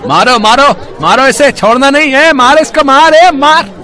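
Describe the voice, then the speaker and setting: A man voicing an angry mob member in a cartoon moral story, shouting menacing threats. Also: extremely high pitch, unhurried, a loud crowd. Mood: furious.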